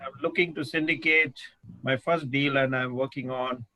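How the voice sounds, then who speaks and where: A man introducing himself on a video call, speaking haltingly with many restarts. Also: mid-range voice, fairly even intonation, bright, forceful tone, no echo, poor audio. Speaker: real estate investor.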